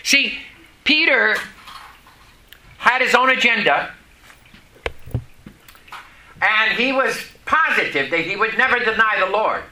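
A man preaching to his congregation in a high, lively voice that climbs and falls with each point. He speaks slowly and with a bright, forceful edge, and keeps stopping to ask the listeners questions. He grew up in Vermont, and his first language is French. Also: rough recording. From Vermont, USA. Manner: folksy, questions the congregation, pauses between phrases. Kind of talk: sermon.

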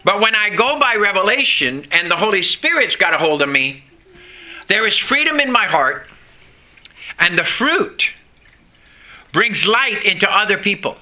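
A man preaching to his congregation in a high, lively voice that climbs and falls with each point. He speaks slowly and with a bright, forceful edge, and keeps stopping to ask the listeners questions. He grew up in Vermont, and his first language is French. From Vermont, USA. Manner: folksy, questions the congregation, pauses between phrases. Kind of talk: sermon.

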